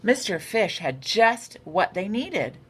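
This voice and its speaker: A woman reading a picture book aloud for children in an expressive, unhurried storytelling manner. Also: medium pitch. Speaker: storytime reader. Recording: noticeable background noise.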